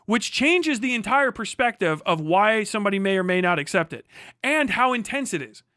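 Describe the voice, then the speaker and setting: A man with a high, bright voice delivers an off-the-cuff commentary rant. He is indignant and profane, hammering points home through repetition. The sound is close and dry, like a streamer's microphone. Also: big pitch swings, normal speed, clear, resonant tone, dry recording. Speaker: livestream commentator. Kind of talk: unscripted reaction rant.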